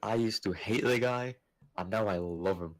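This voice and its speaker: A man's voice: on the low side, normal speed. A man from Spain with a Spanish accent, chatting easily on a gaming livestream and chuckling often. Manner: easygoing, chuckling, trailing off mid-thought. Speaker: streamer. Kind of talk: casual gaming stream chat.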